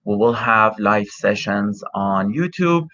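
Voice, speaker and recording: Bright-voiced man on a lo-fi phone stream. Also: lowish voice, expressive, takes it slowly. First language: Farsi. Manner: hesitant, many fillers and restarts. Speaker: immigration consultant, livestream host. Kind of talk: live Q&A, reading and answering viewer questions. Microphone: cell phone. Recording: dull lo-fi phone audio.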